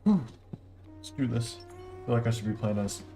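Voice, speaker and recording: A woman's voice: a bass voice, lively intonation, a little slow, music in the background. A woman streamer giving casual, playful gameplay commentary with a joking manner. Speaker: game streamer.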